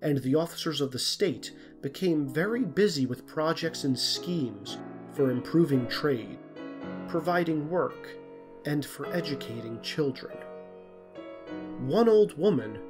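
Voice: mid-range voice, lively intonation, a little slow. A narrator reading a scripted storybook tale. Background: plucked string music.

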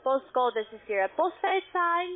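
High voiced woman call center agent, halting n pushy step by step, tinny phone audio. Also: expressive. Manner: halting, insistent, step-by-step instructing. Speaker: call centre agent for an online trading platform. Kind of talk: scripted-sounding phone sales instruction. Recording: muffled narrow phone line.

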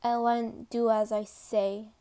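Stern male corporal, played by a woman, clipped orders, gruff and cold, story narration piece. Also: fairly high pitch, flat delivery, moderate pace, warm tone, pitched below the performer's own voice, close to an everyday voice. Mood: stern, demanding. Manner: curt, commanding, intimidating.

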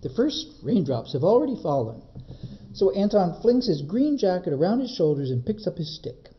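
A man reads a children's story aloud like a librarian at story time. His pitch moves up and down a lot, with dramatic, measured phrasing. The sound is a little muffled. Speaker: librarian reading a children's book. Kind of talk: expressive storybook narration.

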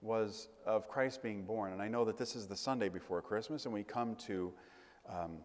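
Middle-aged priest preaching, lowish voice, halting w lots of ums, church echo all around. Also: male voice. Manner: conversational, halting with fillers and restarts. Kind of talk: sermon to a congregation. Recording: long church reverb.

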